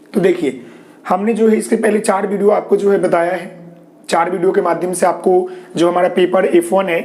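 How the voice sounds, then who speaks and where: A man's voice: sits high, level tone, moderate pace, darkish tone. A man from Bihar with a Bihari Hindi accent and a sore throat, teaching a live online class, with many fillers and reassuring asides to viewers. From Bihar, India. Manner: repetitive fillers, frequent "ji" and "okay"; reassuring, tells viewers not to worry.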